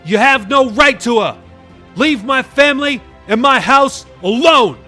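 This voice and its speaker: A man playing a middle-aged pastor and father who prays with loud, commanding authority. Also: very high voice, lively intonation, measured pace, faint music behind, voice pushed up, heavily acted. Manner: loud, forceful, commanding prayer.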